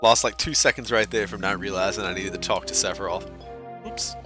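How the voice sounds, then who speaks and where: A man's voice: on the low side, brisk, music in the background. A man chatting casually with his live-stream chat while playing a game, talkative and self-deprecating. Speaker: speedrunner streamer.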